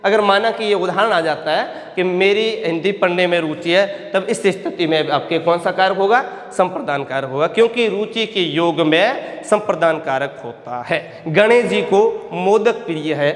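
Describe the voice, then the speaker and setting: A male teacher giving a grammar lesson, dictating examples slowly, repeating phrases and answering his own questions. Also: high-pitched. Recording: echoey room with long reverb.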